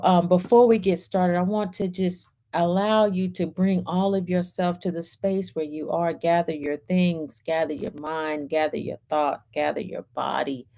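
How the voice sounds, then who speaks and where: A woman hosting a storytime as a reverend, speaking in measured short phrases as she welcomes, guides and reads aloud. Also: lowish voice, warm tone. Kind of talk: gentle guided centering and picture book read-aloud.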